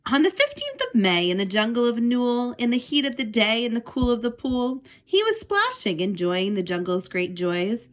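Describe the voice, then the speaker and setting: A woman's voice: on the high side, big pitch swings, moderate pace, few pauses. A woman reading a children's book aloud, adding simple explanatory asides for young listeners. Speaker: read-aloud storyteller.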